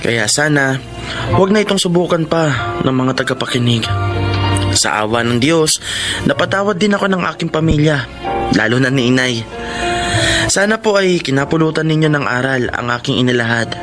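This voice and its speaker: An actor with a Filipino accent playing a remorseful, earnest reformed addict pleading in a radio drama. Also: male voice, medium pitch, expressive, moderate pace, pauses often, over music, natural voice. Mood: reflective.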